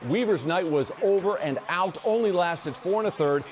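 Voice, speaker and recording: A man delivers sportscast highlights with a brisk, punchy energy. His voice sits a little on the high side. A faint hiss runs under the recording. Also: a little mellow. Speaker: sports anchor. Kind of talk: local TV sportscast.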